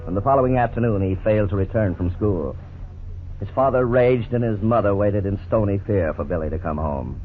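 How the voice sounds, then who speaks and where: A man narrating a 1950s radio drama in a measured, grave manner. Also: lowish voice, soft background music. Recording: tinny old radio broadcast, faint hiss.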